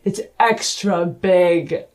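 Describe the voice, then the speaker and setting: A man's voice: high voice, extremely slow. Guy doing a haughty dwarf lord, very formal and clipped, faint music behind, tabletop rpg. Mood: indignant, proud. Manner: pompous, clipped formal phrasing. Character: haughty, formal male dwarf noble with big beard.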